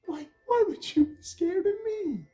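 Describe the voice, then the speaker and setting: A woman's voice: very high-pitched, animated, unhurried, dark tone, soft background music, pitched above the performer's own voice. A woman voicing a small, polite child who talks hesitantly, with childish grammar. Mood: puzzled. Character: polite little child behind a fence.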